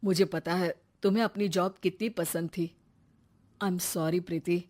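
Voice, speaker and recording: A woman voicing a caring, teasing young married man in an animated moral story. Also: lowish voice, a little slow. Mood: earnest, concerned.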